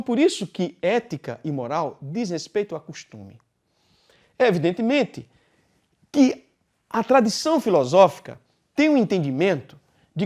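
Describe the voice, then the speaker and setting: Brazilian man lecturing with lively pitch. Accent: Brazilian Portuguese. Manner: deliberate, poses rhetorical questions and answers them. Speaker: philosophy teacher. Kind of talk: recorded class lecture.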